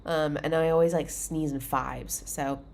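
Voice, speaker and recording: A woman's voice: low-pitched, steady tone, a little slow, creaky, a little mellow, slight background noise. A woman hosting her own livestream, chatting casually and full of fillers, a bit congested and under the weather. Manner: chatty, filler-heavy, upbeat. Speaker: livestream host.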